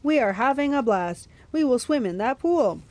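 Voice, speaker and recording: A woman's voice: high voice, expressive, normal speed, a little mellow, pitched above the performer's own voice. Woman doing stilted, entranced cruise folk. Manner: glazed, stilted cheerfulness. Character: blank, entranced cruise passengers and crew. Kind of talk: audiobook-style character voice in a fantasy story.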